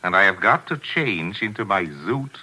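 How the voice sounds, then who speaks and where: An actor playing a vain, witty stage star in a 1940s radio drama, theatrical, pompous and biting. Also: male voice, sits low, animated, normal speed, pushed and bright, a little hiss or hum, heavily acted, low-quality recording. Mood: scornful. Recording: old 1940s radio recording, music behind.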